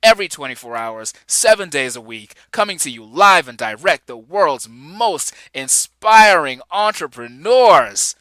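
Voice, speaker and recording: A man's voice: fairly high pitch, sing-song, a little slow, hardly any pauses, pushed and bright, no echo, rough recording. A man from Nigeria in his forties, hosting his own podcast with an upbeat, enthusiastic delivery and chuckling asides. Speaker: podcast host. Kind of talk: upbeat solo podcast intro.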